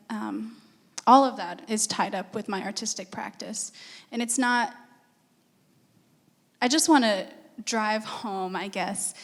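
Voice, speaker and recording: Woman, from Senegal, articulate, thoughtful, panel talk, echoey hall. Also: medium pitch. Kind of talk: panel discussion.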